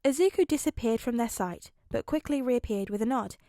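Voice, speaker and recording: A woman's voice: on the high side, a little fast, mellow, no room sound, clean studio sound. A woman reading a scripted story aloud as an audiobook narrator. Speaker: audiobook narrator of fan fiction.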